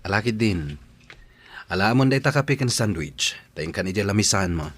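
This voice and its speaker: Man acting easygoing, low-voiced Filipino boss Sir Alvin. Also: animated, normal speed, a bit bright. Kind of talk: Ilocano radio drama acting.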